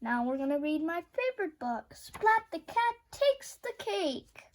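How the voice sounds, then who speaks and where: Female storybook reader, high pitch, unhurried, expressive delivery for young children. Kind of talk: children's picture-book read-aloud.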